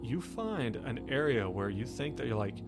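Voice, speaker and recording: Halting male game master over music. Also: medium pitch, animated. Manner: halting, conversational, often rephrasing. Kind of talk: improvised narration of a tabletop roleplaying game. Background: background music.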